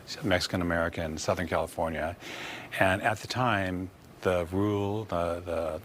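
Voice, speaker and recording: An earnest, measured man explaining things as an author being interviewed. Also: sits low, a bit bright, slight background noise. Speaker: children's book author, interview guest.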